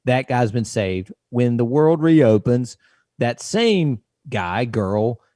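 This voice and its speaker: A man in his late forties co-hosting a podcast, telling stories in an animated, emphatic way. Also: mid-range voice, slow, no room sound, clean studio sound. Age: 40s. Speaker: podcast co-host. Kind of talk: casual chat.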